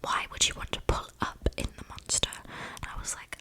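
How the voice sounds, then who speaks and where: Casting: eccentric young vampire gal a woman acting, all breathy whisper, gossipy and flippant ASMR roleplay, rambling close on the mic. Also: a little fast, natural voice. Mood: gossipy, bemused. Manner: rambling, gossipy, slangy, dramatic. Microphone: close mic picking up breathing.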